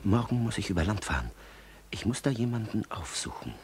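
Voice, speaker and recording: An actor playing a cold, vindictive, plotting gentleman villain in a 1950s radio drama. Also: lowish voice, big pitch swings, a little fast. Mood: cold, conspiratorial. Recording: noisy 1950s radio recording.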